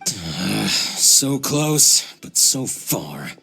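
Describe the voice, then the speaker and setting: Slow, gravelly, dejected man. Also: on the low side, sing-song, bright, forceful tone, soft background music, heavily acted. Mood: crushed. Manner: drawn-out, groaning. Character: dejected, whining man who lost a contest. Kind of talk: English game dub voice acting.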